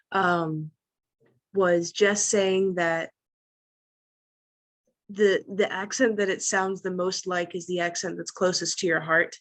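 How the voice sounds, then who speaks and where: A woman who acts on stage, talking casually and reflectively in a panel conversation, sharing her experiences. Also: lowish voice.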